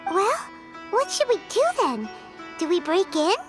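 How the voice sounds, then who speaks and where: A woman voicing a tiny, eager female companion creature in a video game, squeaky, childlike and chirpy. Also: sing-song, a little slow, faint music behind, full character voice. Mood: curious.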